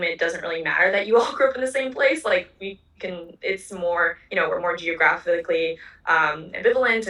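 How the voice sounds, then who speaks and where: Quick, bright young woman, echoey. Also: on the low side. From Hong Kong. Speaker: panelist. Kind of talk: panel discussion. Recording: echoey, distant.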